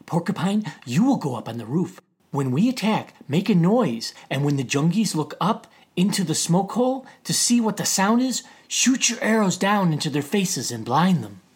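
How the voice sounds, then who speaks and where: Man doing a sly, husky turtle war chief. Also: sits high, sing-song, moderate pace, pitched above the performer's own voice, exaggerated voice. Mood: commanding. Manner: sly mumbling, then panicked pleading. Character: boastful cowardly male turtle war chief. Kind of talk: storytelling.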